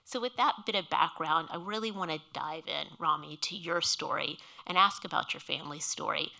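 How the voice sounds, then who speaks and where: Bright-voiced woman moderating onstage. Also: sits low, gravelly. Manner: measured, earnest storytelling. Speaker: moderator of a speaker series. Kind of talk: onstage interview. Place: museum stage before an audience.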